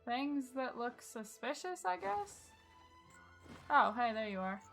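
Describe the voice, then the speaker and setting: A woman's voice: sits high, very animated, slow, vocal fry. A woman casually commenting on the game she is playing on a livestream. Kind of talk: casual live gameplay commentary. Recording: loud game music behind. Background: game music.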